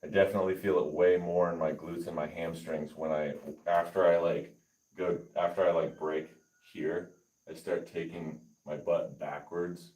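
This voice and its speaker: A man livestreaming his lifting session, chatting casually and haltingly with viewers and reading their comments aloud. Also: sits low, little pitch movement, darkish tone, rough recording. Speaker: fitness livestreamer. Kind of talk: casual livestream chat while working out.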